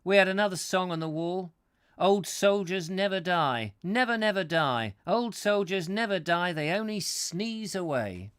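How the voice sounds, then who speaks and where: A man's voice: high-pitched, expressive, unhurried, a bit bright, clean tone, no echo, studio quality, pitched above the performer's own voice. A man voicing a hearty Roman centurion reminiscing in an exclamatory storytelling manner.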